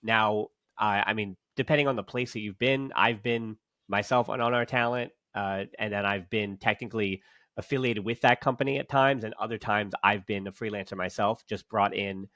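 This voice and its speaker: A man's voice: lowish voice, fairly even intonation, normal speed, fairly bright tone, dry recording, studio quality. A man hosting a casual podcast, talking in long rambling sentences with many restarts and fillers. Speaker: podcast host.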